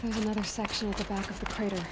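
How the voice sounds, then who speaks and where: Heavy ambient noise surrounds a woman voicing a determined game explorer. She sounds earnest, uneasy and direct at a middle pitch. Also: level tone, rapid-fire, close to an everyday voice. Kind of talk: dramatic video game acting.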